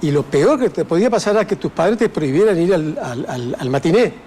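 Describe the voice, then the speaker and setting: A man's voice: on the high side, lively intonation, brisk, a little mellow, slight background noise. An older man with an Argentine Spanish accent, speaking reflectively as an author in an interview, with many fillers and restarts. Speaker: author guest. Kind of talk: interview conversation.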